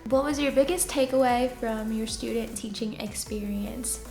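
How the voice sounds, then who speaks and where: Soft-voiced young woman, chatty. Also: fairly high pitch, moderate pace, echoey room, soft background music. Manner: chatty, laughs often, lots of fillers. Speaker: teacher answering viewer questions. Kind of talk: casual Q&A chat.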